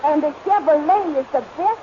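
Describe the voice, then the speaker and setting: A woman's voice: high-pitched, normal speed, mellow, slight background noise, lightly acted. A woman playing an eager, deferential, folksy servant girl in a 1930s radio comedy. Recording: muffled 1930s radio recording.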